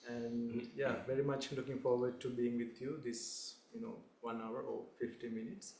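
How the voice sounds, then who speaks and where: A young man from Sri Lanka, a Buddhist monk, leading a guided meditation in a calm, unhurried way with short phrases. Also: mid-range voice, echoey room, slight background noise.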